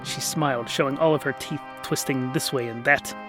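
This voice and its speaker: A man's voice: mid-range voice, steady tone, normal speed, over music, close to an everyday voice. A man playing a pompous, peevish all-knowing narrator in a scripted comic fantasy tale. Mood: wry. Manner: theatrical, pompous.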